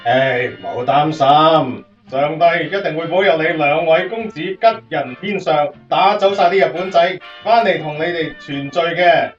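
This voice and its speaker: A man acts out a chatty, fussing portrait photographer in a radio drama. His highish voice is soothing and obliging, heard in a roomy, slightly distant space with faint music behind. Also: measured pace, lightly acted. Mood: reassuring, coaxing. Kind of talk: Cantonese radio drama acting. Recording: roomy, dull sound, off-mic, faint music.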